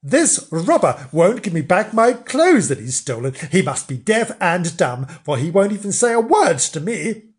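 An indignant storybook gentleman, as acted out by a storyteller, full of bluster and accusation. The voice jumps high and loud, swinging widely in exclamations of spluttering outrage. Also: big pitch swings, moderate pace, natural voice. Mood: aggrieved. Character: indignant, blustering middle-aged man, shouting accusations. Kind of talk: children's storybook character voice.

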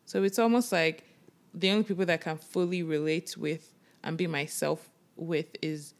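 Lower-voiced woman co-hosting a podcast. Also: moderate pace. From Nigeria. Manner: agreeing, supportive, animated when quoting others. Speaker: podcast co-host. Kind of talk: casual chat.

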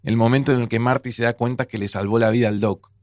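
A man's voice: mid-range voice, steady tone, quick pace, close to an everyday voice. An actor with a Rioplatense Argentine accent playing an easygoing, teasing older man and caring friend in a naturalistic audio drama. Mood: teasing, casual.

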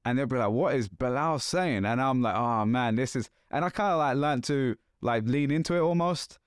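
A man's voice: mid-range voice, moderate pace, smooth, no room sound, studio quality. Chatty London man hosting a podcast. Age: 30s. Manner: chatty, animated, loose with slang. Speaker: podcast host. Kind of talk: casual podcast chat.

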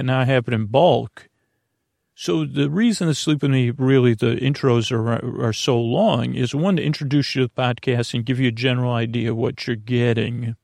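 A man hosting a sleep podcast in a rambling, halting bedtime monologue, with a creaky, gravelly voice. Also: mid-range voice, no echo, studio quality. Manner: meandering, halting, repeats and trails off. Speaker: podcast host.

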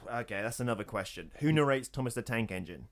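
A man's voice: medium pitch, animated, fast. A man co-hosting a podcast, chatting in a wry, rambling way. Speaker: podcast co-host. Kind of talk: casual chat.

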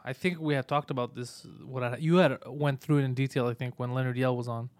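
Fast-talking man, dry close sound. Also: medium pitch, fairly even intonation, darkish tone, dry recording. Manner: fast, swearing, storytelling. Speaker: comedian. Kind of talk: casual podcast chat.

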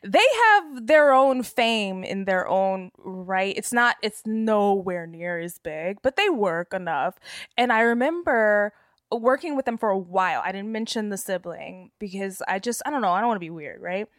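A woman guest comedian chatting casually on a podcast, animated and slangy, with a slightly nasal voice. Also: mid-range voice, normal speed, no room sound, studio quality. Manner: animated, slangy storytelling with sung and mimicked bits.

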